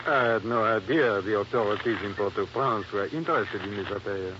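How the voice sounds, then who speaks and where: A man's voice: lowish voice, a little fast, lo-fi sound, lightly acted. A man playing a reluctant, evasive colonial police inspector in a 1950s radio drama, formal and hesitant. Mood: uneasy reluctance. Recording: noisy, band-limited old radio recording.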